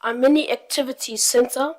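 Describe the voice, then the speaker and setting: High-voiced young woman presenting. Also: steady tone, normal speed, darkish tone. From Tonga. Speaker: youth forum presenter. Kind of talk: prepared group presentation, read aloud.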